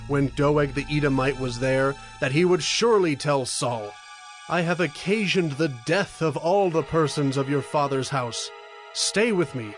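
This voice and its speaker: High-voiced, devout warrior leader. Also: male voice. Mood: remorseful, protective. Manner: earnest, solemn, projected. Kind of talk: dramatized Bible audio acting.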